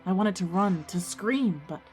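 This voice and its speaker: A woman's voice: mid-range voice, expressive, normal speed, soft background music, natural voice. A woman playing an anxious schoolgirl who narrates her own ghost story in an audio drama. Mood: tense narration. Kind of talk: scripted audio drama, first-person narration and dialogue.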